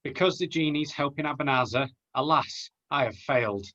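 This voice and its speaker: A man's voice: medium pitch, normal speed, pushed and bright, close to an everyday voice. A man playing an earnest young pantomime hero, declaiming rhymed lines over a video call. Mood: rueful. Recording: dull lo-fi video call audio.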